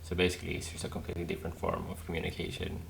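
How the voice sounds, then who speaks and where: A man's voice: low-pitched, monotone, racing, vocal fry, slight background noise. A man giving casual gameplay commentary, musing aloud and repeating words as he works things out. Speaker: gaming video host. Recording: music behind the voice. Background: game music.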